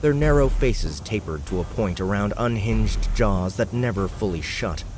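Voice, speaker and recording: A man's voice: fairly low pitch, animated, moderate pace, noisy background. A man narrating a fantasy audiobook, reading from a script as storyteller.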